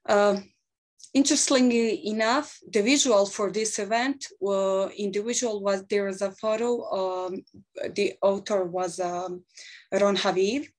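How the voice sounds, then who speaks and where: A Bosnian-accented woman in her thirties speaks English earnestly on a video call, halting now and then between phrases as she thinks aloud. Her pitch sits in the ordinary middle range for a woman. She is picked up by a webcam in a small book-lined office. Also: fairly even intonation. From Bosnia and Herzegovina. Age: 30s. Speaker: panel speaker. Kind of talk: webinar talk. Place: small office with shelves of books, indoors.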